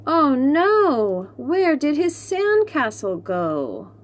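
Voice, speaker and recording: A woman reading a picture book aloud to young children, slow and expressive, then chatting about the books. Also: sits high, muffled recording. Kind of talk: read-aloud storytime for young kids.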